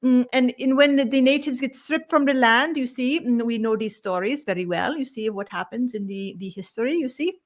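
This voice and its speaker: A woman voicing a benevolent collective spirit guide who speaks as "we", humming "mm" and trailing off into soft murmured "you see". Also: a little high, close to an everyday voice. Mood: serene. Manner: measured, gently instructive. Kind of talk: channeled spiritual session.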